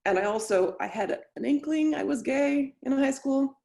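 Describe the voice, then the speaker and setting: A woman from Venezuela speaking in a lively, self-deprecating way as an interview guest, laughing easily. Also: high voice, moderate pace, vocal fry. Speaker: guest, lighting designer. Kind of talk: conversational interview.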